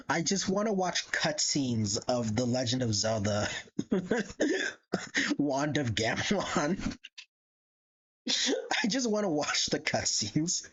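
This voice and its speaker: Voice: mid-range voice, fairly bright tone, slightly rough, highs cut off. A live streamer chatting casually in a rambling, giggly way and swearing freely. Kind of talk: casual livestream chat.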